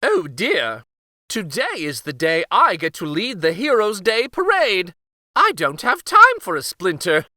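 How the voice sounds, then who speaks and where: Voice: medium pitch, wildly swooping pitch, a little slow, sharp tone, no echo, clean studio sound, pitched above the performer's own voice, full character voice. A man voicing a fussy, reluctant storybook king with whiny, exclamatory protests. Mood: fretful. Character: fussy, reluctant king afraid of splinter removal.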